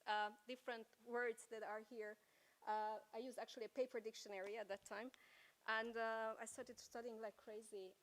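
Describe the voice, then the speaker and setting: A woman's voice: fairly high pitch, poor audio. A Hungarian-accented woman in her forties giving a keynote talk at a conference, telling her story with rhetorical questions. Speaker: keynote speaker. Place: conference hall.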